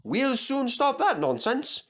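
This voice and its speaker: A man voicing a polite, self-assured male tank engine with a calmly firm manner in a children's storybook. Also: very high voice, slow, pitched above the performer's own voice, heavily acted.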